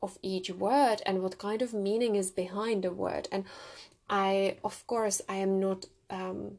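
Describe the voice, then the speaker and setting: A woman's voice: mid-range voice, fairly even intonation, measured pace, darkish tone. A young adult woman with a Czech accent, hosting a solo podcast and telling personal stories in a reflective way with small restarts. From Czech Republic. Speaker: podcast host.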